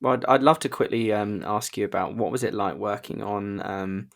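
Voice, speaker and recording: A man's voice: fairly low pitch, moderate pace, vocal fry. A man from Surrey with a southern English accent, hosting a casual interview in an effusive, chatty way. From Surrey, England. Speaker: interview host.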